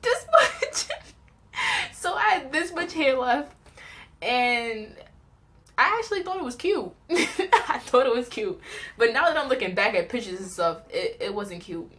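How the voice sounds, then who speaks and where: A young woman tells personal stories in a casual, chatty vlog style, animated and giggly as she acts out little reactions. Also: a little high, unhurried. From New York, United States. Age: young adult. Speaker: vlogger telling personal stories.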